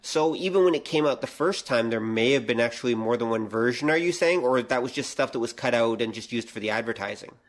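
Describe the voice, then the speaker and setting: A man's voice: medium pitch, animated, quick pace, few pauses. A middle-aged man co-hosting a podcast, chatting casually as he asks questions and comments. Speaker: podcast co-host. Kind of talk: casual conversation, asking and commenting.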